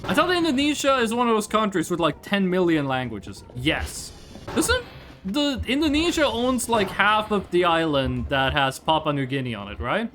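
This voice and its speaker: A man from Norway who speaks Norwegian natively, with a high voice that moves around a lot in pitch. He talks slowly and ramblingly as he streams a game, casual and curious, with music playing clearly behind him. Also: very animated, fairly bright tone. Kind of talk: casual livestream chat over gameplay.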